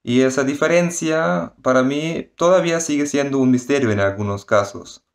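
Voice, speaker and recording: Student, articulate, mid-pitched. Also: male voice, moderate pace, gravelly. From Germany. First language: German. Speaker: interviewee, university student.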